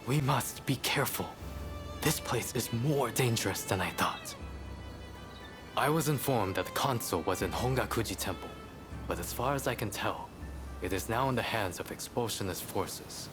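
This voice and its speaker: An actor playing an earnest, cautious samurai scholar in a video game, speaking formally and articulately. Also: male voice, lowish voice, lively intonation, moderate pace, natural voice. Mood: wary, reluctant. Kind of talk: video game cutscene acting. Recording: background music under the voice.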